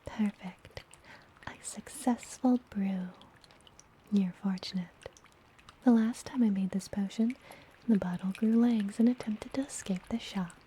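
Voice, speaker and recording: A woman playing a friendly, mysterious witch healer in a whispered ASMR fantasy roleplay, soothing and gently teasing. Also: medium pitch, a little hiss or hum, lightly acted. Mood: gentle, caring. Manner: soothing, unhurried, softly whispered.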